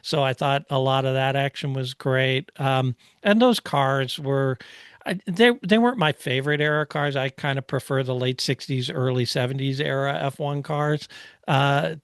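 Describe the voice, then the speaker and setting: A man's voice: medium pitch, smooth, dry recording. Man podcaster talking racing, dry close sound. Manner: explanatory, knowledgeable racing enthusiast. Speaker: podcast co-host. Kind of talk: casual movie review discussion.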